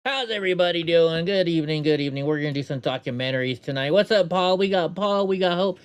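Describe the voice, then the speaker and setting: A man's voice: on the high side, quick pace, smooth. A man hosting a live stream in a casual, offhand way, chatting to viewers and muttering to himself. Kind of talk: casual chat, reacting to documentaries.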